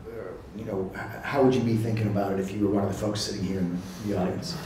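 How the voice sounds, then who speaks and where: A man's voice: on the low side, level tone, quick pace, mellow, slight background noise. A middle-aged man interviewing on stage, asking casual questions with fillers, on a handheld mic in a large hall. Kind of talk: fireside chat interview. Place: large hall with seated audience. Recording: roomy hall sound.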